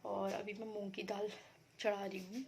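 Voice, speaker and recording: A woman vlogging about her day in a casual, rambling way, a little hoarse from being unwell. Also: medium pitch, level tone, normal speed, darkish tone, slight background noise. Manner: chatty, rambling, explains herself to viewers. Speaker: vlogger housewife. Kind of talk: casual daily vlog chat.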